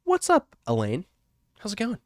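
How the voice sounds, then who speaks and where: A man getting over a cold, with a rough, congested voice, chatting casually and rambling with many fillers as an artist streaming to his viewers. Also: high-pitched, huge pitch swings, normal speed, a little mellow, dry recording, studio quality. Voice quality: rough, wrecked voice. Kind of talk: casual live-stream chat.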